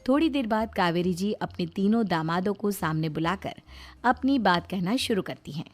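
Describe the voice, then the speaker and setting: A woman narrating a cartoon story in a plain, even storytelling voice. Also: medium pitch, normal speed. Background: faint background music.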